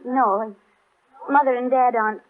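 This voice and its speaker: A woman's voice: fairly high pitch, steady tone, normal speed, lightly acted. An actress playing an anxious, earnest young woman who speaks politely. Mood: worried. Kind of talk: 1930s-style radio drama acting. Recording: tinny old radio broadcast from a little off-mic.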